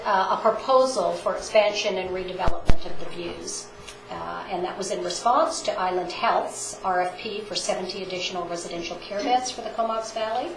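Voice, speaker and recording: A woman giving a formal presentation to a town council, measured and speaking with frequent pauses and fillers. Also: mid-range voice, a bit bright. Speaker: health organization presenter. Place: council chamber. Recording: roomy chamber audio with faint noise.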